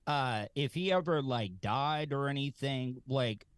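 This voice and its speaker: Rambling man, mid-pitched, dry sound. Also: clean tone, no room sound. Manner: halting, rambling. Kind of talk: casual comic anecdote telling.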